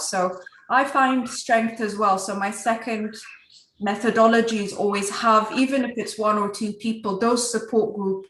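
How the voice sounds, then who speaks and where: A woman's voice: medium pitch, a bit bright. Earnest woman on an echoey video call. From Ethiopia. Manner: earnest, with a strong voice. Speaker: guest speaker. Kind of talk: virtual panel discussion.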